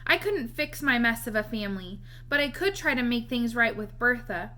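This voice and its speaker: A woman reading a children's novel aloud as an expressive storyteller. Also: fairly high pitch, normal speed. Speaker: read-aloud reader.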